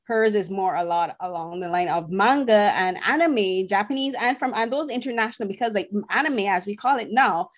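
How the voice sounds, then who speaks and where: A woman's voice: medium pitch, fairly quick, hardly any pauses. Woman guest critic, earnest, talks at length, mid-range voice. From Barbados. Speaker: film critic, podcast guest. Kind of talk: casual podcast conversation.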